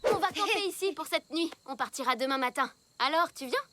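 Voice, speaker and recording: A woman's voice: high voice, expressive, brisk, noisy background. A woman voicing an anxious young girl who frets dramatically about getting lost, in a cartoon dub. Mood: urging. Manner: fretful and dramatic.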